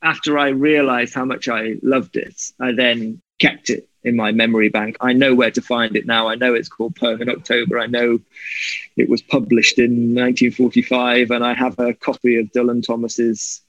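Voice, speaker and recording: A man's voice: mid-range voice, flat delivery, normal speed, fairly bright tone, no room sound. Welsh man on a muffled, dry video call. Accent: light Welsh. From Wales. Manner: earnest, reflective. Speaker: guest. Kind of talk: poetry reading and conversational interview. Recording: slightly muffled dry online call audio.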